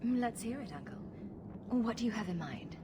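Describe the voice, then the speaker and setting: A woman's voice: on the high side, animated, brisk, noisy background, lightly acted. An actress voicing a witty, sarcastic young woman rogue in a video game cutscene. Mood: wry, composed. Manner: wry, sarcastic.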